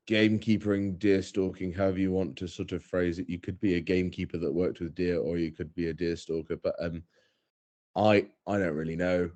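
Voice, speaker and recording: A man's voice: sits low, a little mellow. A young man, a guest on a podcast, talking casually in a thoughtful, hesitant way with many fillers and restarts. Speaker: head stalker, guest.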